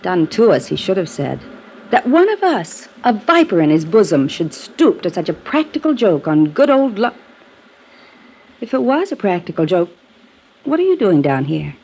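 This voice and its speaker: A woman's voice: on the low side, very animated, normal speed, darkish tone, slight background noise, low-quality recording. A woman playing a witty, jaded actress in her late twenties in a 1950s radio drama, theatrical and sardonic.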